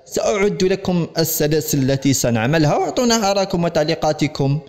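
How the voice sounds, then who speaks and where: Young male YouTuber, Algerian accent and native Algerian Arabic, higher-than-average voice, rapid emphatic delivery to camera. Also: lively intonation, darkish tone. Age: young adult. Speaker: gaming YouTuber. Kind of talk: direct-to-camera vlog in formal Arabic. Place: small room at home set up as a studio.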